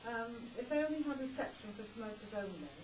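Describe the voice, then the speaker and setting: A woman's voice: fairly high pitch, big pitch swings, a little fast. A woman in an audience giving her opinions casually at a live event. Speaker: audience member. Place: audience seats in arts centre. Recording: distant, echoey, noisy hall recording.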